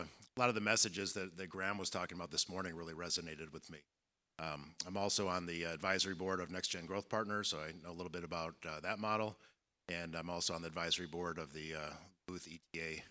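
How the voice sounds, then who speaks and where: A man in his fifties speaking as a panelist at a conference, measured and reflective, with many fillers. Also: low-pitched, bright, forceful tone. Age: 50s. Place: conference hall.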